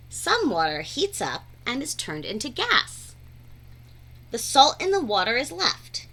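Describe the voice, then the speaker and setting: Very animated woman reading a children's book. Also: mid-range voice. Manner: animated, deliberate, sing-song phrasing. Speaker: read-aloud storyteller. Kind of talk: expressive rhyming children's picture-book read-aloud.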